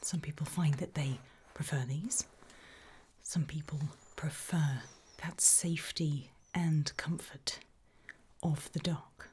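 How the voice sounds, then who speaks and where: A British woman whispering a creepy ASMR roleplay as a calm, reassuring gravedigger, hushed and matter-of-fact with long pauses. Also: deep voice, a little hiss or hum.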